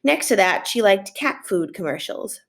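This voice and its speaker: A woman's voice: medium pitch, sing-song, normal speed, a bit bright. A middle-aged woman reading a children's chapter book aloud as a teacher would, recorded at a computer in a room at home. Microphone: computer mic at desk, webcam framing.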